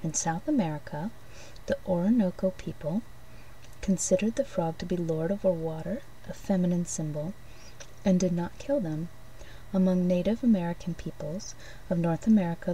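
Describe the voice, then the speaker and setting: A woman doing an ASMR role play as a shopkeeper, speaking softly and gently, often breathy and near a whisper, in unhurried short phrases. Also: on the low side, far from the mic, slight background noise, rough recording. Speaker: ASMR host playing a shopkeeper giving card readings.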